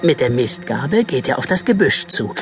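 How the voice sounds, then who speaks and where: A man's voice: mid-range voice, very animated, normal speed, gravelly. A man narrating a children's audio story in a suspenseful, dramatic manner. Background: music.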